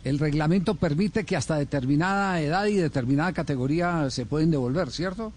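Man, sports radio host, slightly high voice, probing questions. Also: fairly quick. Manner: probing, hesitant restarts.

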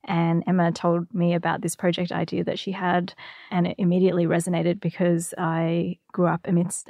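Aussie woman chatting as a guest, creaky voice, dry close recording. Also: on the low side, darkish tone, dry recording. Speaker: podcast guest, illustrator. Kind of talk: conversational interview storytelling.

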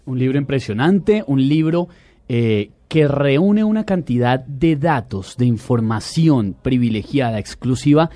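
A man's voice: mid-range voice, lively intonation, unhurried, mellow, dry recording. A man co-hosting an interview, adding effusive remarks about the guest. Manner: effusive, adds remarks to the host's lines. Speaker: co-host. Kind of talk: enthusiastic commentary.

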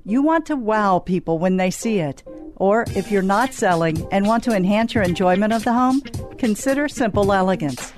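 A woman reading radio advertisements in a smooth, polished way. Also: medium pitch, a little mellow. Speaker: ad announcer. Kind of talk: scripted radio ad read. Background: music bed.

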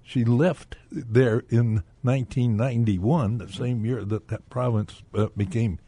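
A man's voice: medium pitch, fairly even intonation, unhurried, warm tone, husky. A man speaking as a guest on a radio talk show, telling a story at length. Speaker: guest, bank director. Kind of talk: radio interview.

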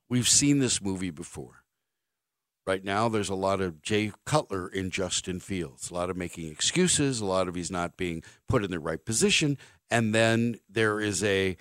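A man's voice: fairly low pitch, dry recording. A male sports radio host, ranting bluntly and sarcastically in a studio. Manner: blunt, sarcastic, animated rants. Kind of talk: opinionated sports radio monologue. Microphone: studio microphone.

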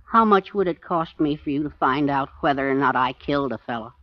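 A man's voice: medium pitch, brisk, no echo, natural voice. Man playing nervous fugitive, small man, American accent, terse, plain-spoken, vintage radio drama, dry dull recording. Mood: curt, wary. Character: short, nervous, guilt-ridden man hiding out for years. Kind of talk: 1940s radio drama acting.

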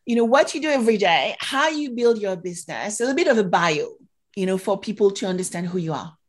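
A woman hosts an interview podcast with a voice of medium pitch. She delivers prepared introductions and open questions to her guest. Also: lively intonation, fast talker.

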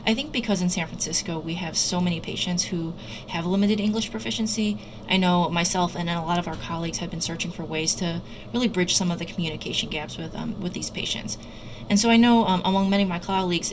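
A woman doctor and researcher giving careful, somewhat halting explanatory answers as an interview guest. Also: on the low side. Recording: loud background noise.

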